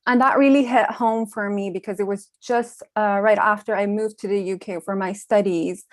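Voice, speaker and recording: A young woman from Iran, a Farsi speaker, giving a measured, reflective conference talk with frequent ums. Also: mid-range voice, steady tone, no echo, clean studio sound. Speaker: panel speaker, design researcher.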